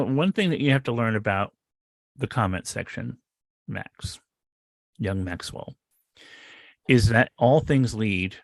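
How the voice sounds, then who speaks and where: A man co-hosting a casual podcast, asking animated, jokey questions in a voice he calls higher. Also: creaky, dry recording. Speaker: podcast co-host.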